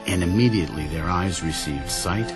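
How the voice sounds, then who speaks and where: A man narrating a dramatized Bible story in a measured, solemn storytelling manner. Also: deep voice, animated. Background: background music.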